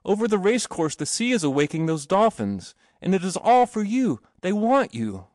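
A man voicing an eager, earnest young boy in a storybook reading, exclamatory and excitable. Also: mid-range voice, a little fast, no echo, studio quality, natural voice. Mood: eager, calling.

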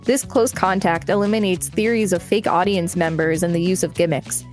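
A woman's voice: lowish voice, fairly even intonation, moderate pace, darkish tone, soft background music. A woman hosting a podcast, reading encyclopedia text aloud and adding casual commentary. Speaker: podcast host.

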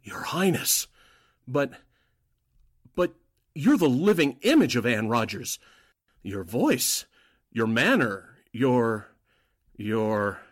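Man playing deferential, animated Nazi informant. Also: medium pitch, takes it slowly, long pauses. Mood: astonished. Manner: deferential, earnest, easily flustered. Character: eager, deferential male informant for Nazi agents. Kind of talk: 1940s radio drama acting.

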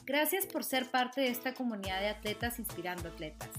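A woman's voice: on the high side, lively intonation, fairly quick. A woman reading a scripted podcast outro as the host, addressing her listeners. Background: music.

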